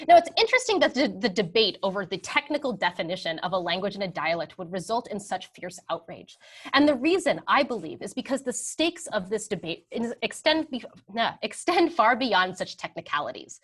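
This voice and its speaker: A woman in her thirties giving an academic history lecture over slides, relaxed and conversational, often checking in with "right?". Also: mid-range voice. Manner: conversational, frequent "um" and "right?" tags.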